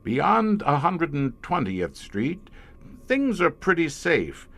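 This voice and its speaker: An actor playing a weary, grim professor who commands a secret police squad, speaking formally and hesitantly in an audio horror drama. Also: male voice, a little high, wildly swooping pitch, fairly bright tone, soft background music, full character voice. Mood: explanatory, formal. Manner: measured, hesitant, formal, darkly matter-of-fact.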